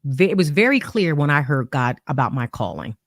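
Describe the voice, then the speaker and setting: Woman host, low voice, emphatic preaching cadence, dry close sound. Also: very animated, a little fast, no room sound. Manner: preacherly, emphatic, builds with analogies. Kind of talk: faith-based leadership conversation.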